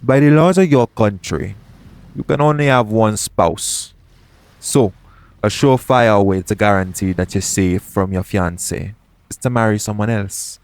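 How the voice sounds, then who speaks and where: A man's voice: fairly low pitch, a little slow, darkish tone. A man playing a shady, persuasive pirate captain in an audio roleplay, bargaining straight to the listener in roguish, ungrammatical speech. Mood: coaxing. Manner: coaxing, bargaining, roguish dialect grammar. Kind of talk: audio roleplay acting, addressing the listener directly.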